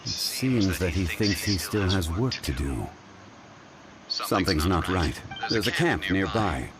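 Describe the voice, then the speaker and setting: An actor playing a calm, dignified ancient scholar in a game, speaking in a measured, formal way. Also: male voice, low voice, music in the background. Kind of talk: game character dialogue.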